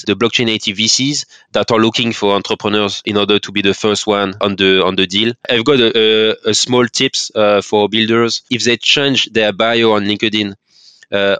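A man's voice: fairly low pitch, little pitch movement, normal speed, sharp tone, clean tone, no echo. A French man with a French accent, speaking as a podcast guest in a casual interview, hesitant, with many fillers and restarts. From France.

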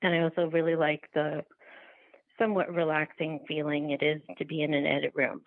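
A woman being interviewed as a filmmaker, talking reflectively and haltingly as she thinks aloud and rephrases. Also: deep voice, flat delivery, no echo. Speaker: guest, documentary filmmaker. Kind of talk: reflective podcast interview conversation. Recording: thin, phone-like line.

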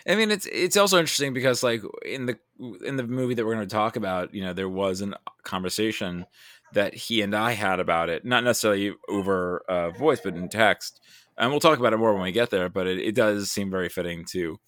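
A man's voice: lowish voice, brisk, a bit bright, no echo, clean studio sound. A man talking casually as a guest on a podcast. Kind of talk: casual chat.

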